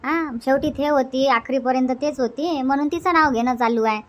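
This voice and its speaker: A woman's voice: sits high, normal speed, close to an everyday voice. A woman voicing a gossipy, suspicious village aunt in a cartoon comedy, speaking emphatically in Vidarbha Marathi with rhetorical questions.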